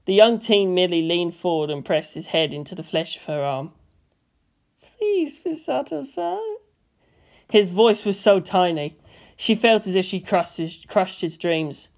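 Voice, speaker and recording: A woman's voice: on the low side, moderate pace, a little mellow. A woman reading scripted fan fiction narration as an audiobook narrator.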